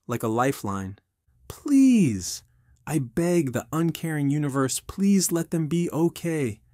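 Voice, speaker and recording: A man's voice: mid-range voice, no room sound, studio quality, pitched above the performer's own voice, lightly acted. A man voicing an anguished army veteran who runs a restaurant, narrating his own story in an intense, earnest audio drama style. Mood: urgent, desperate. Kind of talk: dramatic first-person scripted narration.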